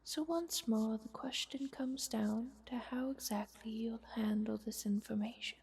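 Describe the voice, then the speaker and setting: A woman voicing an ancient, haughty vampire countess in a soft, whispered fantasy ASMR roleplay, with a formal, measured, wry manner. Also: a little high, vocal fry, breathy edge, full character voice. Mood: guarded, coolly persuasive. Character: ancient, youthful-looking vampire countess, haughty, coolly bargaining.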